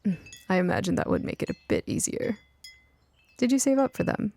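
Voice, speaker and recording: A woman voicing a kindly older lady in a storybook, gently amused and inquisitive. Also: mid-range voice, huge pitch swings, brisk, gravelly, heavily acted. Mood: warm interest. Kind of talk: acted audiobook dialogue.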